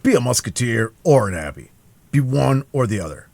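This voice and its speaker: Audiobook: a man playing a hearty, boastful swordsman, lower and louder, husky edge. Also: very animated, moderate pace, no echo, full character voice. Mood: blustering. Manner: loud, blustering and self-satisfied. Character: boastful, hearty male musketeer Porthos.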